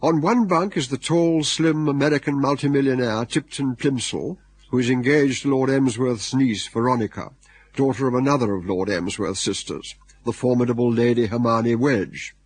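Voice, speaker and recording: A man's voice: medium pitch, moderate pace, husky, no echo. An Englishman narrating a radio comedy drama from a script in a wry, storytelling manner.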